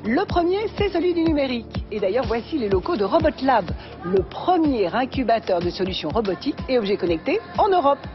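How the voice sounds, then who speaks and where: A woman's voice: on the high side, very animated, fairly quick. A woman narrating a scripted TV news report as a reporter. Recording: slightly dull sound over music. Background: background music.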